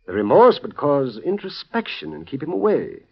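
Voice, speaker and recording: A husky, soothing radio doctor. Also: male voice, fairly high pitch, normal speed, full character voice. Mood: explaining. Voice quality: husky, lively, soothing bedside manner. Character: smooth-talking male psychiatrist, calm, fussy. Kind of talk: 1950s radio drama acting. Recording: dull old radio broadcast recording.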